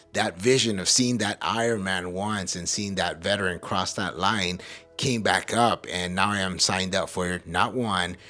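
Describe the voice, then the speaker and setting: A man's voice: low voice, pushed and bright. A man from El Salvador hosting a podcast, speaking casually and motivationally in an earnest, emphatic way. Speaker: podcast host.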